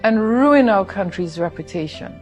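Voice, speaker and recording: A woman's voice: mid-range voice, animated, moderate pace, faint music behind. A woman from Trinidad delivering a scripted political address, earnest and insistent as she accuses opponents. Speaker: political spouse in a campaign video.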